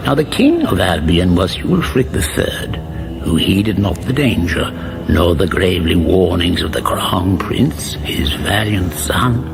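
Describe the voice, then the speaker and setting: A grave old chronicler of a mock-epic radio fantasy. His deep, gravelly voice rises and falls theatrically with deadpan portent. Loud music plays under it. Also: unhurried, exaggerated voice. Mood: grave, portentous. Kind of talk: mock-epic scripted narration.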